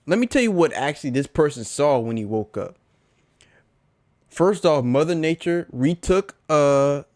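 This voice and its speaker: A man's voice: a little high, dry recording, studio quality. A man giving casual, slangy reaction commentary as a video host, repeating phrases for emphasis.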